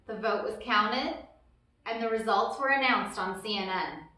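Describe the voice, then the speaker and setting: A woman reading a children's picture book aloud as a storyteller, with deliberate, emphatic phrasing and brief asides. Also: mid-range voice, sharp tone. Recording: very echoey room, distant mic, noisy background.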